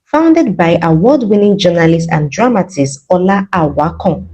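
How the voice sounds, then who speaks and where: Nigerian lady reading arts news, animated delivery, soft mellow tone. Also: lowish voice, moderate pace, slightly rough. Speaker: presenter of an arts news programme. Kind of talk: scripted news narration.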